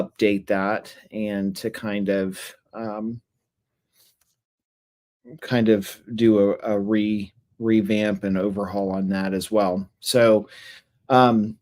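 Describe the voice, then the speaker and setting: Male webinar host, lowish voice, going hoarse, chatty and full of fillers. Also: a little mellow. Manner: halting, many fillers and restarts. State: losing his voice. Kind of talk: casual webinar talk.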